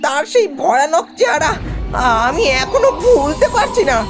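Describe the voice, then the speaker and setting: A very high-pitched, timid young man in a horror cartoon. He stammers and whimpers in terror, his voice swinging widely. Music plays behind him. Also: sing-song, normal speed, full character voice. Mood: terrified. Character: timid, panicky young man terrified of ghosts. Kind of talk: animated horror cartoon dialogue.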